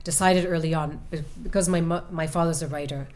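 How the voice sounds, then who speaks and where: A woman author answering audience questions about her novel, speaking thoughtfully with many pauses and restarts. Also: low voice, steady tone, darkish tone. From United States. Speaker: author at a reading. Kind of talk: book talk and audience Q&A. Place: event room with an audience.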